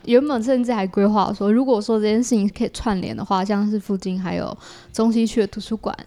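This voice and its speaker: A woman with a Taiwanese accent talks as a guest in a casual interview. Her voice sits at an average pitch. She explains her creative work conversationally, hedging and adding small asides as she goes. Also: steady tone, fairly quick, mellow. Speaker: podcast guest, audio drama creator. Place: small partitioned dessert cafe in an alley.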